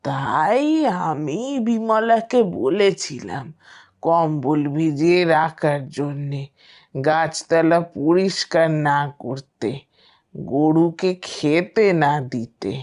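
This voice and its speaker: A woman voicing a wise, calm elderly village grandmother in a cartoon, explaining gravely and with measured words. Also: deep voice. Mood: calm, firm. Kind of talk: cartoon voice acting.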